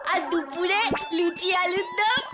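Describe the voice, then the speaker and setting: Shrill, bossy spoiled cartoon daughter. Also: a little slow. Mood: bossy demanding. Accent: Bengali. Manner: shrill, scolding, imperious. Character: bossy, demanding, whiny spoiled daughter held captive. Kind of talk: comic Bengali cartoon acting. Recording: loud music behind the voice.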